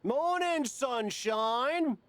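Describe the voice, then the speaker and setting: A man's voice: very high voice, expressive, very slow, a bit bright, heavily acted. A man playing a blunt, supportive British wrestling promoter and mentor in a video game cut scene, with a wry manner. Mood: teasing.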